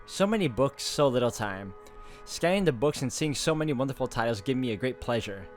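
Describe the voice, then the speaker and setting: A man reads visual novel narration aloud as a gaming host, in an informal and expressive manner. His voice sits at a middle pitch, with game music softly behind it. He slips easily between story text and casual remarks. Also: brisk. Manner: informal, expressive, stumbles while reading.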